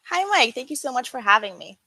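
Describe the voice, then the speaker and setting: Woman from lebanon, coach, bit high voice, talks thoughtfully, dry mic. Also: big pitch swings, fast, bright, forceful tone, no room sound. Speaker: coach and corporate trainer. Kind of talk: interview guest.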